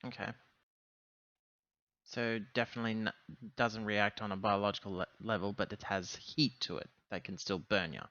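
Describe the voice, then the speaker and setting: A man talking casually as a player in a tabletop roleplaying game, with wordy, deliberate phrasing. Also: lowish voice, fairly even intonation, bright, forceful tone, no room sound, highs cut off.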